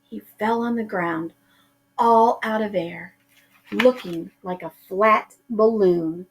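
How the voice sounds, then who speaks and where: A woman's voice: mid-range voice, slow pace, a little hiss or hum, rough recording. A middle-aged woman teacher reading a picture book aloud to her pupils, drawing out words for effect.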